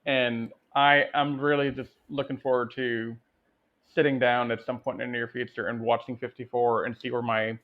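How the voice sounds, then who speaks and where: A man with a mid-range voice chats casually about movies as a co-host. He sounds reflective and appreciative as he thinks out loud. His microphone gives a slightly muffled, lo-fi sound. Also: medium pitch, flat delivery, moderate pace. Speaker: podcast co-host. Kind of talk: casual film discussion.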